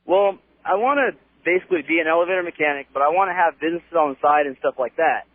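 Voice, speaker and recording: A man's voice: a little high, fast, dry recording. A man in his early twenties with an American accent, chatting casually as a caller on a radio show. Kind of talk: casual phone-in chat. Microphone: telephone. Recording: tinny phone line on radio.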